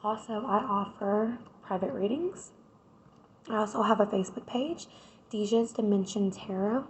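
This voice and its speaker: A woman, hoarse from a cold, doing a casual tarot reading and chatting straight to her viewers. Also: medium pitch, moderate pace, a little hiss or hum, low-quality recording. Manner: chatty, addresses viewers directly with repeated "right?". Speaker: tarot reader.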